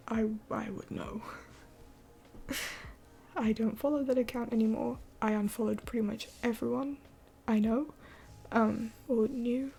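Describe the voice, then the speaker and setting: Whispery young woman with vocal fry. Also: a little high, warm tone, a little hiss or hum. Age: 20s. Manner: rambling, many fillers, often drops to a whisper. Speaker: livestream host. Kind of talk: late-night live Q&A chat.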